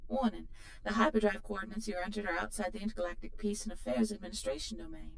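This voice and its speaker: A woman's voice: mid-range voice, vocal fry, natural voice. Woman voicing a roomy, distant, impassive female ship computer. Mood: formal, warning. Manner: formal, measured announcements. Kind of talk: sci-fi audio roleplay. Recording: roomy, distant, faint background noise.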